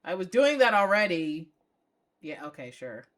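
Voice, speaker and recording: A woman streaming a visual novel playthrough, chatting casually and playfully with expressive reactions and casual swearing. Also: on the low side, moderate pace. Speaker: game streamer.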